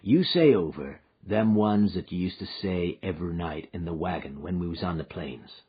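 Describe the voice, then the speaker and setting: A man playing a weary, husky frontier wanderer. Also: deep voice, expressive, moderate pace, muffled recording. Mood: coaxing. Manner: rustic frontier dialect, soft and patient.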